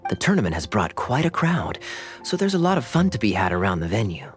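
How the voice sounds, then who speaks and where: A man playing a suave, easygoing young knight officer in an anime video game, with a smooth, wry, measured delivery. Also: lowish voice, very animated, faint music behind. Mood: wry, friendly.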